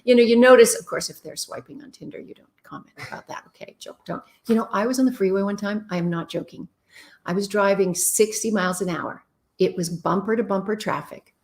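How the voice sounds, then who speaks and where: A middle-aged woman with a warm voice hosts a live show, reading out viewer questions and answering them with blunt, direct advice. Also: medium pitch, fairly quick. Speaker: relationship advice show host.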